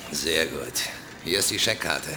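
An actor playing an eager, obedient hacker henchman, brisk and matter-of-fact, in a children's radio drama. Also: extremely low pitch, animated, noisy background. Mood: businesslike.

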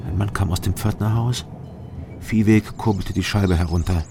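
A man's voice: low-pitched, normal speed, vocal fry, dark tone, slight background noise. An actor playing a sober, guarded East German man who tells his story tersely in a radio crime drama. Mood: sober narration. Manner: terse, understated. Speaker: narrator and lead character. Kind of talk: radio crime drama, first-person narration. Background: music.

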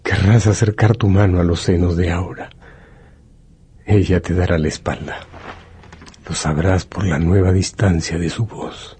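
An actor narrating a literary radio drama as a solemn, brooding, deliberate storyteller. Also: male voice, deep voice, fairly even intonation, dark tone, husky, heavily acted. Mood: solemn, ominous.

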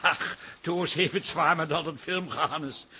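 High, bright devoted husband. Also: male voice, a little slow, slight background noise, full character voice. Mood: fond, earnest. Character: good-natured, devoted middle-aged husband, fussy caterer. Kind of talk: Dutch radio comedy drama acting.

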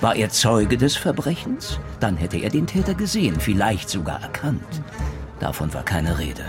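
An actor playing a methodical retired police detective in a crime radio play, deliberate and self-assured, reasoning through rhetorical questions. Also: male voice, fairly low pitch, very animated, over music. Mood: probing, methodical. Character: retired male police detective, methodical, probing, theatrically sure of himself.

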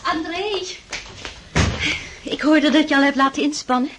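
An actress playing a gentle, devoted young noblewoman in a radio drama. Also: high-pitched, a little slow, background noise. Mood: eager.

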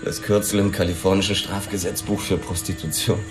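An actor playing an infatuated, playful policeman in an intimate, expressive radio drama scene with his lover. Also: sits low, a little fast, music in the background, lightly acted. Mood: quiet, curious. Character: infatuated male cop, playful, guilt-ridden lover.

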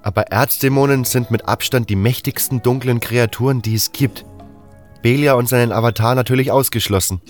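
A man's voice: medium pitch, steady tone, fairly quick, close to an everyday voice. Man acting a learned, brooding apprentice mage. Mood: sceptical, lecturing. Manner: explanatory, reasoning step by step. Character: learned, brooding male mage's apprentice, pondering aloud. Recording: music under the voice.

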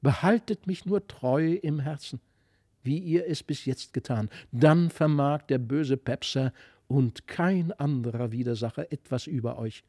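A gentle, radiant fairy child from a storybook, acted by a man. The voice is mellow and tender, consoling slowly and solemnly, recorded very dry. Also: on the high side, dry recording.

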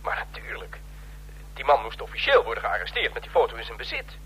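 An actor playing a servile, flustered ambassador in a radio drama, rough-voiced, stammering and defensive. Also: male voice, mid-range voice, huge pitch swings, fairly quick, pushed and bright, slight background noise, low-quality recording. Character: flustered, servile male ambassador under his leader's rebuke.

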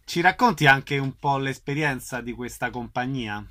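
A man's voice: medium pitch, moderate pace, pushed and bright. A man working as a radio interviewer, putting brief, orderly questions to a guest.

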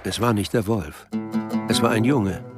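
A man's voice: on the low side, unhurried, over music, close to an everyday voice. A man reading scripted literary narration as the sober storyteller of a radio play. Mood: sober, measured.

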